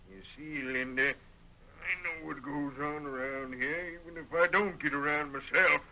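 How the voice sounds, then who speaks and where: An actor playing a domineering, crippled old frontier rancher with an American Western accent in an old-time radio western. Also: male voice, fairly high pitch, takes it slowly, pauses often, bright, forceful tone, a little hiss or hum, exaggerated voice, rough recording. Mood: sly, knowing. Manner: bossy, barking orders, folksy drawling speech. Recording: vintage radio drama recording.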